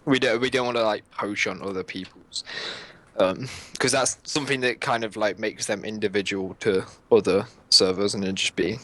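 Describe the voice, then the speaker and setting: Bright, slightly low man, relaxed co-host explaining server details, keyboard clacking behind. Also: moderate pace. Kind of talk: casual chat answering questions.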